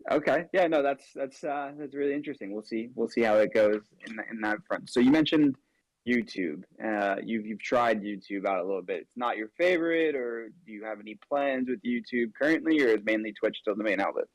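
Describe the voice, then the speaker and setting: A man's voice: mid-range voice, brisk, no echo, low-quality recording. A man hosting a casual interview, friendly and rambling with many fillers. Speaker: interview host.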